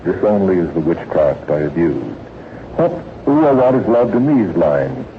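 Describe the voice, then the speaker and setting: Low-voiced man hosting an old tinny radio quiz. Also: big pitch swings, normal speed. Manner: bantering, teasing. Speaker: quiz show host. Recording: tinny, noisy 1940s radio broadcast.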